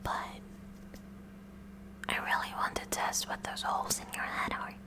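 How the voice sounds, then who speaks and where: A woman voicing a kooky, curious high school science club president in a halting, excitable breathy whisper for an ASMR roleplay. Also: close to an everyday voice. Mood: intensely curious.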